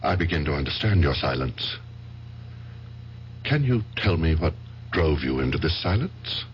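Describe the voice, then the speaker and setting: Very deep-voiced, patient psychiatrist. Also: male voice, a little slow, exaggerated voice. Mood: patient, probing. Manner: formal, calm, probing. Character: patient, measured male psychiatrist. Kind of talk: old-time radio drama acting. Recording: dull, faintly noisy old radio recording.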